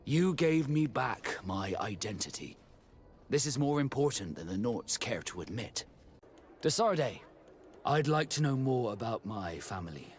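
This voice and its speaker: An actor playing a guarded, proud sea captain in a video game, speaking in measured, formal phrases with a wistful streak. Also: male voice, medium pitch, very animated, a little hiss or hum. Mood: earnest, moved. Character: guarded male sea captain, proud, quietly wistful about his origins. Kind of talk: scripted video game dialogue.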